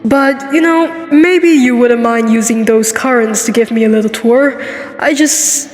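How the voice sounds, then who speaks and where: A woman's voice: on the high side, a little slow, close to an everyday voice. A woman voicing a young, polite but determined pony princess in a cartoon comic dub, speaking casually and a little hesitantly. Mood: apologetic, coaxing. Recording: roomy reverb, music behind. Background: music.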